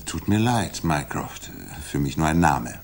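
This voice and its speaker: An actor in a 1960s German radio drama playing a cool, self-assured detective with a measured, dry, precise delivery. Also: male voice, very deep voice, animated, exaggerated voice. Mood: detached, dry. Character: Sherlock Holmes, cool, analytical, self-assured male detective.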